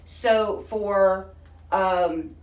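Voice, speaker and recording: A woman's voice: mid-range voice, extremely slow, a little mellow. A woman from Texas with a Texan accent, hosting a casual live stream in a chatty, folksy way. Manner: chatty and folksy, says honey and y'all. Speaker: host. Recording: echoey room, far from the mic.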